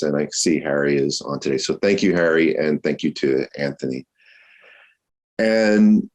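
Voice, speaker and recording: A man hosting an online lecture series over a video call, thanking the crew and introducing the guest with gratitude, using many fillers. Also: low-pitched. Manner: warm, grateful, with many fillers.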